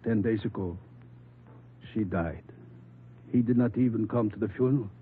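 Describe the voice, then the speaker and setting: A man's voice: fairly low pitch, natural voice. Man acting a bitter old foreign-accented father. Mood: bitter, ashamed. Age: older. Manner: halting, solemn, formal phrasing. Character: bitter, grieving old immigrant father, gravely formal. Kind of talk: 1950s radio drama acting. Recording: noisy narrow-band old radio recording.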